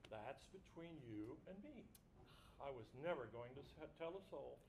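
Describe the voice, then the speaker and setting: A man's voice: lively intonation, moderate pace, creaky, a little mellow. A man playing a whiny, hapless, scheming husband in a comic stage dramatization, peevish and self-justifying, with a stammer in his delivery. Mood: defensive. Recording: live stage recording with rumbling background noise.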